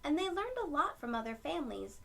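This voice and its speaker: A woman reading a picture book aloud to young children, with gentle storytelling phrasing and asides to her listeners. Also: high-pitched, big pitch swings, a little fast, vocal fry.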